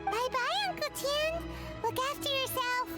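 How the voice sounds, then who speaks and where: Super high squeaky little fairy kid, excitable game sidekick, slow bouncy lines. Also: female voice. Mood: eager. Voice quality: squeaky, childlike. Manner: refers to herself by name, eager. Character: tiny, excitable, childlike fairy companion girl. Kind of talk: video game voice acting. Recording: loud background music under game dialogue.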